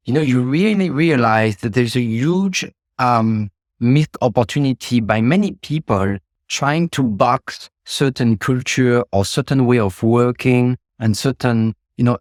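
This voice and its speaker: A man's voice: mid-range voice, no room sound, studio quality. A middle-aged man from France with a French accent, speaking reflectively as a guest in an interview, with many fillers and restarts. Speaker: guest, ecommerce logistics executive. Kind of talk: podcast interview.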